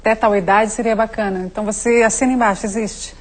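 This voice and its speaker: A woman's voice: mid-range voice, fairly even intonation, fast. Woman hosting a TV talk show. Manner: asks questions, sums up guests' answers. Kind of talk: TV studio interview.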